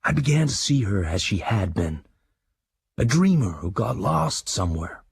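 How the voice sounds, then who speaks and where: An actor playing a brooding American crime writer, narrating his life in terse, intimate radio drama monologue with a husky, gravelly voice. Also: lowish voice, big pitch swings, moderate pace, dry recording, exaggerated voice. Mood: reflective. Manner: terse, hard-edged, intimate. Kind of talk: dramatised first-person scripted narration.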